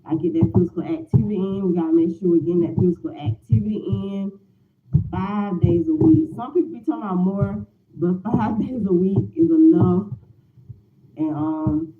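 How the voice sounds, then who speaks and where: A woman's voice: fairly low pitch, moderate pace, faint music behind. Forties woman vlogger, chatty and meandering; recorded on a tinny line in a roomy, noisy space. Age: 40s. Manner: rambling, repeats herself, frequent "um" fillers. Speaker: lifestyle vlogger. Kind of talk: casual morning chat to viewers.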